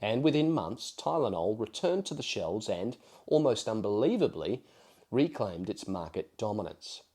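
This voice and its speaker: An Australian man hosting a podcast in a measured, emphatic presenter style, his voice slightly croaky from a cold. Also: lowish voice, sing-song, a little mellow. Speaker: podcast host. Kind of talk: solo podcast talk with prepared storytelling.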